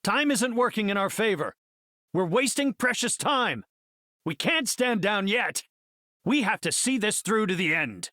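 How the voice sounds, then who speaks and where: An actor playing an earnest middle-aged scientist superhero in a video game, speaking in an articulate, cerebral, heroic way. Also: male voice, sits high, animated, unhurried, pushed and bright, dry recording, clean studio sound, heavily acted. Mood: urgent. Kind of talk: video game hero voice lines.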